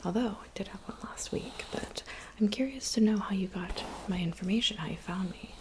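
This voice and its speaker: A woman playing a gentle, attentive witch in an ASMR roleplay, whispering breathily and unhurriedly with soft pauses. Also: mid-range voice, natural voice. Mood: soothing, chatty. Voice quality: breathy whisper with creaky edges. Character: gentle, attentive old witch who looks young. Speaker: ASMR performer.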